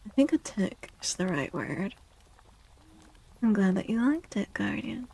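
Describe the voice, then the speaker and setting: Soft-spoken breathy woman with creaky fry. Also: mid-range voice, huge pitch swings, low-quality recording. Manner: gentle, slow, repeats phrases, often slipping into whispers. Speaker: streamer and tarot reader. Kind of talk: soft-spoken ASMR livestream with casual chat.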